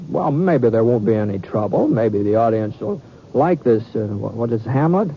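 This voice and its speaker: An actor playing a mild, hesitant gunfighter in an old-time radio western, talking in a halting, folksy way and repeating himself. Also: male voice, medium pitch, lively intonation, slight background noise, natural voice. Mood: puzzled, reassuring. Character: mild, hesitant, drawling Old West gunfighter telling his tale. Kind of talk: old-time radio western acting and first-person narration. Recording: dull vintage radio recording, faint music.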